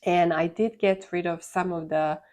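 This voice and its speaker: A woman's voice: on the low side, fairly even intonation, moderate pace, a little mellow. A congested woman from Serbia with a Serbian accent, chatting casually as the host of a gardening vlog. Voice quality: congested from a sinus infection. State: congested with a sinus infection. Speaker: host of a succulent gardening channel.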